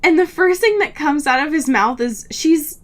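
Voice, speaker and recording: Casting: woman, fairly high and very animated, gushing casual recapper for a chatty, sweary podcast. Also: normal speed. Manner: gushing, sweary, often laughing. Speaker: podcast co-host. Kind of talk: casual book recap chat.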